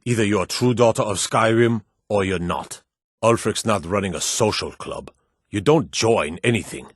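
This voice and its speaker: Guy voicing a gruff proud nord guard for a fantasy game, lowish voice, slightly husky, firm and declamatory. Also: moderate pace, no echo, studio quality, lightly acted. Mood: stern. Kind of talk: dramatic video game dialogue.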